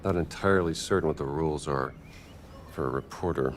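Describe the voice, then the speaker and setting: A man playing a gruff, wry American archaeologist adventurer in a cinematic game, dry and sardonic. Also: extremely low pitch, a little fast, a little hiss or hum. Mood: wry, irritated.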